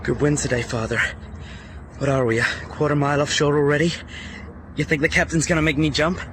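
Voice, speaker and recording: A man's voice: fairly high pitch, steady tone, brisk, lightly acted. Man playing an earnest teenage son, noisy scene. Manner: earnest, insistent. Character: earnest, stubborn seventeen-year-old colonial son going to war. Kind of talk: radio drama acting. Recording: clearly noisy scene ambience.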